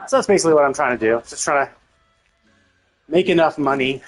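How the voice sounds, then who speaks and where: A man's voice: fairly high pitch, expressive, quick pace, faint music behind. A man doing casual live game commentary, thinking aloud in a rambling way and swearing casually.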